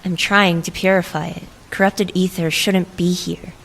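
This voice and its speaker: A woman's voice: fairly low pitch, flat delivery, normal speed, creaky, a bit bright, low-quality recording. A woman playing an earnest otherworldly visitor who stands her ground, in an audio drama. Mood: composed, puzzled. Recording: music under the voice.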